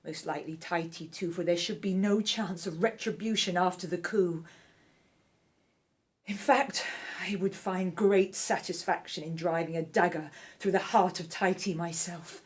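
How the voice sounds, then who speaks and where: A woman playing a ruthless, scheming royal wife of ancient Egypt in an audio drama, speaking in an imperious, deliberate, menacing way. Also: lowish voice, fairly even intonation, close to an everyday voice. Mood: cold menace.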